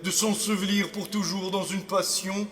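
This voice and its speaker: In a reverberant hall, a man acts out a swaggering aristocratic libertine from classical stage drama. His voice sits high for a male and rings out bright and forceful. He declaims with grand theatrical flourish, cynical and sure of himself, moving between loud boasts and hushed asides. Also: level tone, moderate pace, a little hiss or hum. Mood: boastful, impassioned. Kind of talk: classical French theatre monologue.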